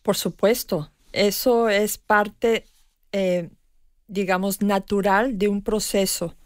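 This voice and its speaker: A woman in her fifties, a guest on a radio talk show, speaking in a thoughtful, measured way with frequent pauses and hesitations. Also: medium pitch, fairly even intonation, no echo, studio quality. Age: 50s. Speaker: guest expert on language learning. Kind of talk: radio conversation.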